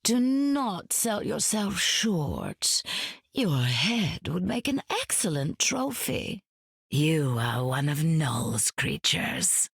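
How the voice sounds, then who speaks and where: Villainous game goddess: slow, husky, sweeping intonation, grand and condescending. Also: female voice, sits low, big pitch swings, no room sound, studio quality, heavily acted. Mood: haughty menace. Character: imperious, silky goddess queen of the dead. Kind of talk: video game voice acting.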